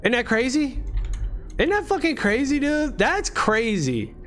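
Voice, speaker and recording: A man's voice: very high voice, very animated, a little slow, a bit bright. A man in his late thirties, chatting casually on a live stream in loose, profane slang full of "dude" and "bro". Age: late 30s. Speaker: livestream host.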